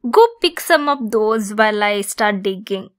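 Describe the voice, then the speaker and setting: A woman performing a scripted ASMR roleplay as a possessive female centaur, speaking in an intimate, gently teasing manner. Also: medium pitch, animated, unhurried. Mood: calm, instructive.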